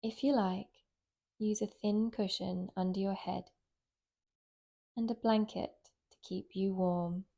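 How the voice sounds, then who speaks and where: A woman guides a relaxation practice at a very slow pace. Her voice is warm and mellow, with a medium pitch. She speaks gently and soothingly, leaving long, unhurried pauses between phrases. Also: fairly even intonation, gravelly. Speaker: meditation guide, yoga nidra teacher.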